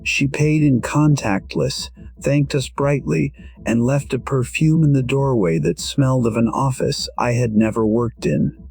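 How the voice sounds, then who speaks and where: A man with a husky voice narrating a scripted first-person story in a measured, reflective manner. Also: medium pitch, steady tone, darkish tone.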